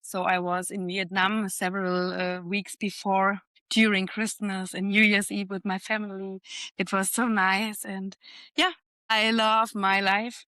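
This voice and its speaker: Bright, calm woman on a podcast. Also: medium pitch, a little slow, dry recording. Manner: calm, reflective, searching for words. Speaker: podcast co-host and forest bathing trainer. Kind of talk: casual podcast chat.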